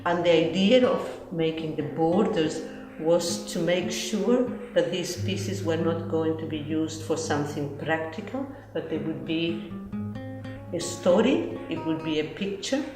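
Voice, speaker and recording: Low-voiced Chilean woman, roomy sound. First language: Spanish. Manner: deliberate, explanatory, non-native English phrasing. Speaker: textile collection curator and interviewee. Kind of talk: explanatory interview talk, presenting textiles. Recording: roomy echo, guitar music behind.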